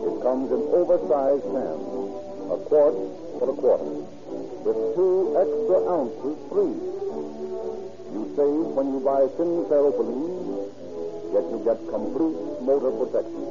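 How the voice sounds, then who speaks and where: Male, American accent, 1930s radio announcer, formal emphatic salesman delivery, mid-pitched, muffled vintage broadcast sound. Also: very animated, measured pace, over music. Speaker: radio announcer and program host.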